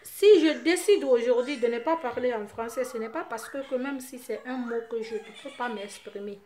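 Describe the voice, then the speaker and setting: A woman from Guinea with a Guinean French accent, Susu her first language, talking to her fans in an impassioned vlog, her voice often rising into loud, forceful outbursts. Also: fairly high pitch, normal speed. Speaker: vlogger addressing her fans. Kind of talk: impassioned monologue to camera.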